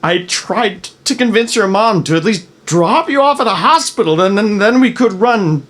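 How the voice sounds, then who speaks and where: An actor playing a guilt-ridden father in a dramatic script reading, halting and pleading as he speaks. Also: high voice, expressive, a bit bright. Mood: anguished, pleading. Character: guilt-ridden, evasive father hiding a terrible secret. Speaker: actor in a screenplay table read.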